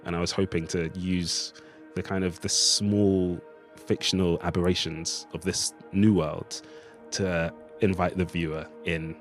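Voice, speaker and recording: A man's voice: low-pitched, flat delivery, unhurried, faint music behind. A man giving a thoughtful, discursive interview as a writer, speaking in a reflective conversational way. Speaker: writer of a radio play, interviewee.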